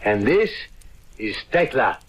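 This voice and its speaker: An actor playing a courteous but condescending palace official in an old radio drama, speaking in a formal, polished manner. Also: male voice, lowish voice, very animated, slow, slightly rough, exaggerated voice. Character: haughty, courteous male palace official, smugly traditional.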